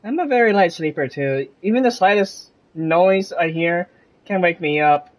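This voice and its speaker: Live game streamer: medium register, relaxed conversational commentary, musing aloud. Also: mid-range voice, moderate pace. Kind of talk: casual live gameplay commentary.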